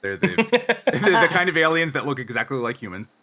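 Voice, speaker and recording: A man's voice: a little high, big pitch swings, brisk, pushed and bright. A man improvising narration as game master of a tabletop game in a conversational, explanatory way.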